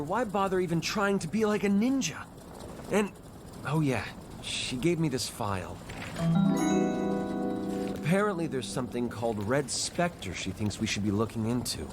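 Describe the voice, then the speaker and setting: A man voicing a brooding, proud, self-critical ninja detective in an animated audio drama. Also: a little high, moderate pace, long pauses, over loud music, lightly acted. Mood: dejected, sardonic.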